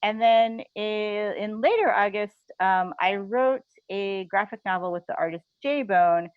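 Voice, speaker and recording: A woman speaking as a guest in a casual interview, informal and halting, often chuckling as she talks. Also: medium pitch, smooth, dry recording, lo-fi sound. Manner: chuckles often while talking; informal, halting, frequent "like" and "you know".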